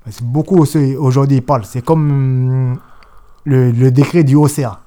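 A man's voice: mid-range voice, fairly even intonation, moderate pace, warm tone. A man, a jurist speaking as an interview guest in an emphatic, insistent way, repeating points and mimicking opponents. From New Caledonia. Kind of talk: assertive political interview talk.

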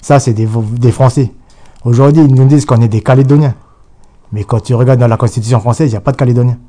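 A man's voice: medium pitch, fairly even intonation, fast, warm tone. A man from New Caledonia, giving an insistent political interview full of restarts and repetition. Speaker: guest.

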